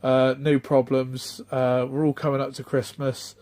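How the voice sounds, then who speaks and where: A middle-aged man, chatting off the cuff in a rambling, halting way full of ums and restarts. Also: medium pitch, flat delivery, darkish tone. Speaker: retro game collector vlogger. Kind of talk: casual off-the-cuff vlog chat.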